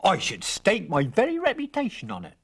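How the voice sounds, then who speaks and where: A storyteller voicing a very old, odd stranger man who talks in wordy, drawn-out persuasion, with a husky voice. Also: mid-range voice, huge pitch swings, pitched above the performer's own voice, full character voice. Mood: boastful.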